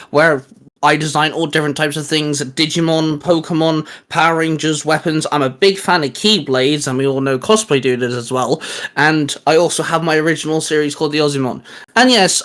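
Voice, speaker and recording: A man's voice: on the high side, a bit bright. A young man, a guest artist, explaining things in informal, rambling chat.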